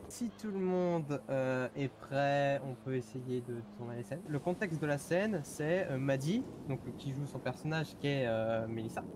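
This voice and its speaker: A man playing a businesslike film director in a game roleplay, giving instructions in a halting way. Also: medium pitch, background noise, close to an everyday voice. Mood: instructive. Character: businesslike male film director briefing actors.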